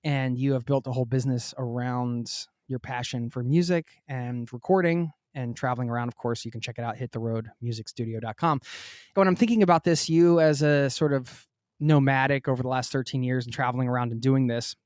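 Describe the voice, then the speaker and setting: A man's voice: medium pitch, a little fast, darkish tone, no echo, studio quality. A man in his forties from Pennsylvania, hosting a podcast and asking long, rambling questions while he searches for words. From Pennsylvania, United States. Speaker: podcast host. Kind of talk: casual interview chat.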